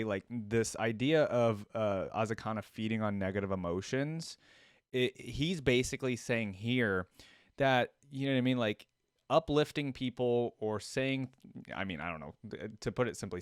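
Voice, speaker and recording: A man's voice: fairly low pitch, clear, resonant tone, no echo, studio quality. A man hosting a reaction video, giving casual spoken commentary.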